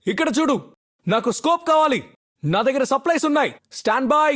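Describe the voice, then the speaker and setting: A man's voice: high voice, animated, fairly quick. A man voicing a soldier in a battle game, giving short, clipped tactical callouts in a commanding way. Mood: urgent, commanding. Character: male battle-royale squad soldier calling out tactical commands.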